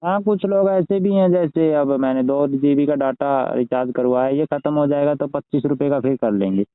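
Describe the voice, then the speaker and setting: A man's voice: medium pitch, normal speed, clean tone, no room sound. A man chatting casually on a phone call as a network marketer. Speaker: network marketer asking for advice. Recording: tinny phone call recording.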